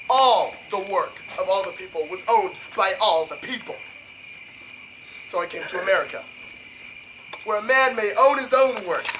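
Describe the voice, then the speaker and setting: A man playing a defiant, contemptuous émigré tycoon from a video game, delivering a grand monologue in an emphatic, deliberate oratorical manner. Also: high-pitched, background noise, close to an everyday voice. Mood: proud.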